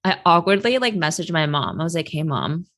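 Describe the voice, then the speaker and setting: A woman whose first language is Chinese, hosting a casual interview and telling stories in an animated way, with many "like" fillers. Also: low-pitched, a little fast. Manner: animated storyteller, quotes people in lively voices. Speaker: host, career astrologer.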